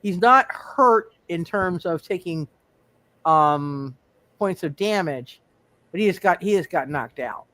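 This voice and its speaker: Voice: mid-range voice, lively intonation, slow pace, fairly bright tone, no room sound. A game master narrating a tabletop roleplay casually, often repeating words and phrases while working out what happens.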